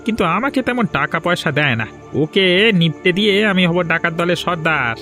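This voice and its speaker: A man's voice: high voice, animated, a little fast, sharp tone. A man playing a scheming, menacing bandit and kidnapper in a Bengali cartoon. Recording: music bed under the voice. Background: background music.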